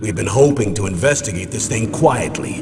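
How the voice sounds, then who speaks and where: Low-voiced, stern military commander. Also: male voice, lively intonation, background noise. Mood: serious, explanatory. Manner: measured, authoritative briefing. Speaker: military commander giving a briefing. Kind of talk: dramatic video game voice acting. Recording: long reverb, music behind. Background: music.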